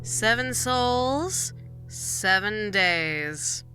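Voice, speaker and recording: A woman voicing a seductive, taunting female she-devil who speaks with a slow, teasing drawl. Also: medium pitch, lively intonation, sharp tone, soft background music, full character voice.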